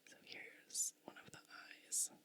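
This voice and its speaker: A woman speaking in a soft, breathy whisper as an ASMR host, gentle and unhurried, close to the microphone. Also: no room sound. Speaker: ASMR video creator and host.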